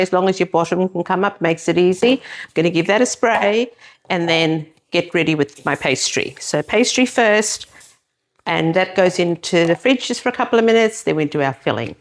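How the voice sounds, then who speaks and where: A woman's voice: lowish voice, moderate pace. Chatty South African woman cooking. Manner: chatty, explains steps as she works. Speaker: cooking show co-host. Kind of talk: casual cooking demonstration.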